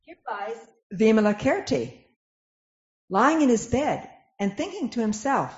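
Lady narrator reading a scripture play, slow, echoey muffled audio. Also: a little high, sing-song. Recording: echoey room, dull lo-fi sound.

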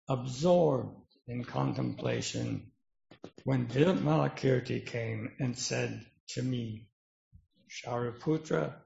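A man playing an earnest, humble disciple, speaking haltingly in short phrases in a dramatic reading of scripture. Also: medium pitch, vocal fry, darkish tone. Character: earnest, humble, hesitant male disciple of Buddha. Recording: roomy, dull lo-fi sound.

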